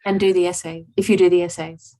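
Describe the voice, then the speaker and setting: A woman's voice: on the low side, level tone, quick pace, mellow. A woman student asking a question hesitantly and agreeing a lot during an online class video call. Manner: hesitant, with many small agreements.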